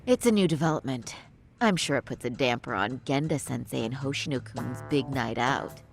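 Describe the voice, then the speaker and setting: A woman's voice: a bass voice, lively intonation, moderate pace, vocal fry, faint music behind, exaggerated voice. A woman playing a cool, composed lawyer in an English game dub, dry and understated.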